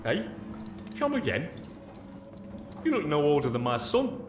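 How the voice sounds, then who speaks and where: An actor playing an excitable, harried father fighting to survive in an audio drama, with an urgent manner. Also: on the high side, a little slow, noisy background. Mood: brusque. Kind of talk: full-cast audio drama acting. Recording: echoey room with music behind.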